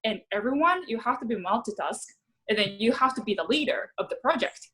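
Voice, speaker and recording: A woman from Japan, speaking English with a Japanese accent, telling her story as an actress guest in a casual interview, chatty and quick to laugh. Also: a little high, lively intonation, sharp tone, rough recording. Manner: chatty, laughs often, restarts sentences.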